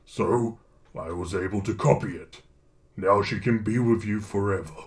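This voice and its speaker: A man voicing a grave, protective alien symbiote with a gravelly, solemn and measured delivery. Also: fairly low pitch, voice pushed down, close to an everyday voice. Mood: gentle, grave.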